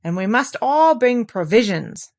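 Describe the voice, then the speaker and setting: A woman voicing a confident little boy who talks in a brisk, know-it-all way. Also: a little high, very animated, a little mellow, pitched above the performer's own voice. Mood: bossy. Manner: brisk and knowing.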